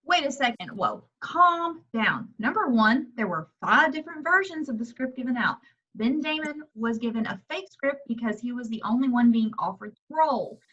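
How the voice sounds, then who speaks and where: A woman's voice: a little high, expressive, normal speed, pushed and bright, breathy edge, muffled recording, exaggerated voice. A woman playing a bossy, sarcastic horror-film heroine in an amateur table reading, blunt and emphatic. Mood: sarcastic, impatient.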